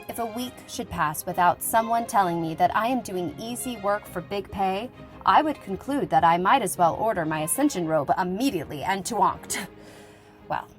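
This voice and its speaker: A woman playing a lively young schoolteacher reading out her letter in a conversational, wryly humorous way, in an acted audiobook. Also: medium pitch, close to an everyday voice. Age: young adult. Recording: music playing behind the voice.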